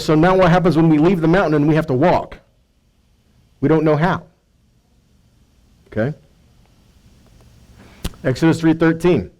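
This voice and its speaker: A man's voice: a little high, a little mellow, poor audio. A man giving an informal Bible teaching, reading scripture and putting rhetorical questions to his listeners.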